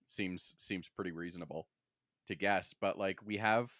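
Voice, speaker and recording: Low-voiced man in casual podcast chat. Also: fairly even intonation, moderate pace, fairly bright tone, dry recording, clean studio sound. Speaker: podcast co-host. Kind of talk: casual chat, book discussion.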